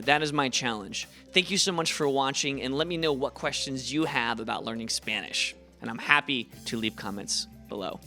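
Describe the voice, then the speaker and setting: Bright upbeat young man from Ohio. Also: medium pitch. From Ohio, USA. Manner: upbeat, encouraging, chatty. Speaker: language teacher, channel host. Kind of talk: casual video lesson.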